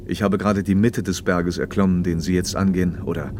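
An actor playing a paternal, probing factory manager in a radio play, speaking in a measured, slightly didactic way. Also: male voice, sits low, steady tone, noisy background, lightly acted. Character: socialist factory manager, paternal, probing, talkative male official. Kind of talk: naturalistic German radio play dialogue.

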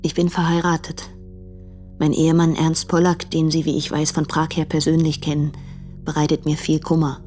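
A woman playing an earnest, troubled married letter writer in a radio play, reading in a formal, measured manner. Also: sits low, completely flat delivery, a little mellow, faint music behind, close to an everyday voice. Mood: troubled, confiding.

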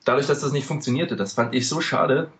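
A man's voice: medium pitch, brisk, fairly bright tone. A man from eastern Germany co-hosting a casual podcast, explaining at length with many fillers. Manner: chatty, many "ähm" fillers, loose rambling explanations. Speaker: podcast co-host. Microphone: home microphone over a call.

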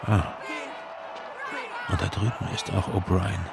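An actor playing a wary, brooding office worker, muttering clipped inner thoughts in a radio drama. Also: male voice, sits low, level tone, slow, vocal fry, over a noisy crowd, very noisy, full character voice. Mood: watchful, secretive. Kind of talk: radio drama interior monologue. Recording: music behind the voice.

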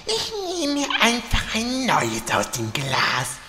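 A woman's voice: mid-range voice, huge pitch swings, slow, music in the background, exaggerated voice. A woman playing an unbothered undead fairground vendor, servile and polite, in a comedy fantasy audio drama. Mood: cheerfully unbothered.

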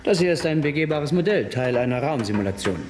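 An actor as a proud exhibition maker in a radio play. His medium-pitched voice is very animated, grandiose and sure of itself. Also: fast, natural voice. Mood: proud, enthusiastic. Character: enthusiastic, self-assured museum exhibition maker. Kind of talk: naturalistic German radio drama acting.

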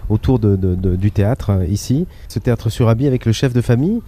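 Man, travel show host, deep mellow tone, conversational, prompting questions, outdoors. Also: fairly quick. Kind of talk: conversational interview. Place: outdoor public gardens.

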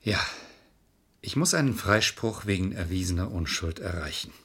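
Casting: stern, insistent lawyer, lowish husky voice, precise and argumentative, seventies German radio crime drama. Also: male voice, lively intonation, normal speed, fairly bright tone, exaggerated voice. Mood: grimly determined. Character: stern, insistent male lawyer, brooding over a case.

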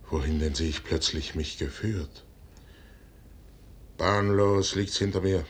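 An actor playing a proud, brooding middle-aged general in a 1950s radio play, declaiming in a weighty, solemn stage manner. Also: male voice, breathy edge, heavily acted. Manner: declamatory, weighty, solemn delivery with measured pauses. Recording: noisy 1950s radio recording with rumble.

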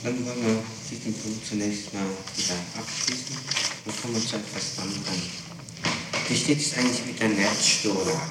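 A man's voice: lowish voice, fairly even intonation, normal speed. A man questioning someone formally as an interrogator, probing and pointing out contradictions. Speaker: police interrogator. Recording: echoey, distant, noisy old tape.

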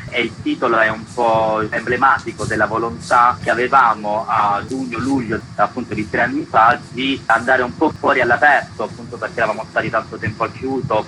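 A man being interviewed as a festival's artistic director, explaining in an articulate way. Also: fairly low pitch. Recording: clearly noisy background.

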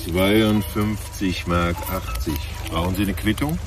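An actor playing a plain-spoken, inquisitive taxi driver in a German radio drama. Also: male voice, sits low, steady tone, takes it slowly. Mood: matter-of-fact, curious. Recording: distant, music and vehicle sound.

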